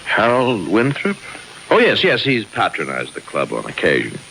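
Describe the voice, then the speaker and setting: A deep, creaky-voiced gambling club boss from 1950s radio noir. His phrasing is formal and condescending, with big swoops of pitch and quiet menace. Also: wildly swooping pitch, full character voice. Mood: smooth, warning. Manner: formal, measured, coolly condescending. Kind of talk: 1950s radio crime drama acting. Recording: faintly noisy old radio recording.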